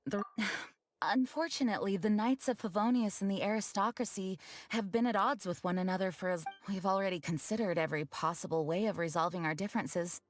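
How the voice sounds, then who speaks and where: A woman playing an earnest, dutiful young knight commander in an anime video game, speaking in a measured, formal way. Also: mid-range voice, natural voice. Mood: serious, concerned. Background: background music.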